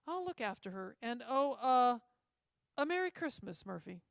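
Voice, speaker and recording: A woman's voice: a little high, sing-song, normal speed, creaky, no room sound, studio quality, pitched above the performer's own voice. A woman voicing a dignified, kindly old male judge in an emphatic storybook reading. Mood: warm, cheerful.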